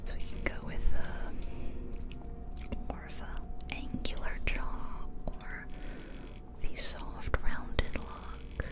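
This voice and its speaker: A woman whispering breathily and close to the mic, musing aloud in an ASMR gaming commentary. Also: takes it slowly. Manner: musing aloud, asking herself questions. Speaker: host of an ASMR gaming video. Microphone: close ear-to-ear ASMR microphone.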